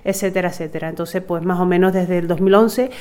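A woman from Tenerife, a summer camp coordinator, answering an interview in a halting, searching way. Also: lowish voice, steady tone, dark tone. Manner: hesitant, searching for words.

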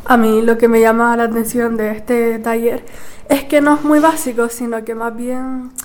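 Flat-toned young woman from Gran Canaria. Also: on the high side, normal speed. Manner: casual, uses fillers. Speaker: workshop participant. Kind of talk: interview answer.

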